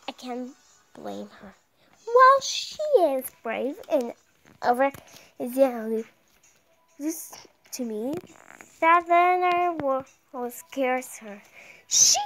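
A very high, youthful female voice reads visual novel text aloud at a slow pace. She is dramatic but halting, often repeating and tripping on words, with music playing behind her. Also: fairly bright tone, rough recording. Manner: halting, stumbling over words and repeating them.

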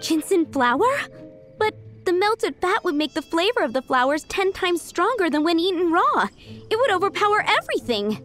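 A woman voicing a proud, opinionated village cook in a video game, brisk and assertive. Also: very high voice, sing-song, a bit bright, heavily acted. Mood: argumentative. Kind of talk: acted video game dialogue. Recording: faint game music underneath.

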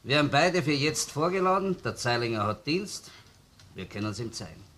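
A man's voice: medium pitch, sing-song, a little fast, a bit bright. A man with a Viennese accent playing a doubting, persistent police detective in a radio crime drama, dry and colloquial. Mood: skeptical. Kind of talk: German-language radio crime drama acting.

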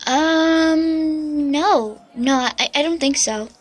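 A woman playing a shy, very high young woman. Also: extremely slow. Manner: hesitant, unsure.

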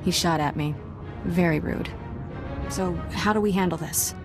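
Actress as young female game Jedi, slightly low voice, wry, cheeky, music behind. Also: a little slow, natural voice. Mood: playful. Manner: wry, flippant. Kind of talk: video game character acting.

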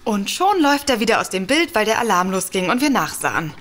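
A sceptical writer in an audio drama. Her mid-pitched voice stays polite and matter-of-fact, with a dry edge. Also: very animated, quick pace, fairly bright tone.

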